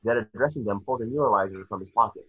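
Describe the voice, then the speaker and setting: Low, husky man reading screenplay directions. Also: fast, a bit bright, dull audio. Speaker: reader of action descriptions.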